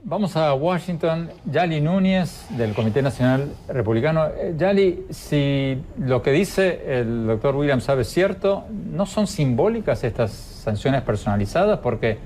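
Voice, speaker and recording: A man anchoring a news program, presenting a report and putting measured questions to a guest. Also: fairly high pitch, expressive, darkish tone, faint music behind.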